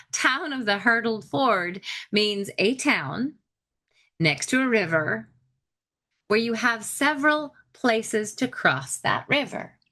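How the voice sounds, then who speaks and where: A woman's voice: on the low side, sharp tone. A woman podcast host giving an informal history lecture in a chatty, explanatory way with wry asides.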